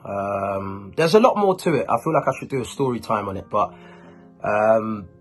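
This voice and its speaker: Low-voiced man chatting casually to camera. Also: animated, normal speed, soft background music. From Ghanaian, born and raised in Germany. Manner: informal urban slang, chatty. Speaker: YouTuber, host. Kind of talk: casual talk to camera answering questions.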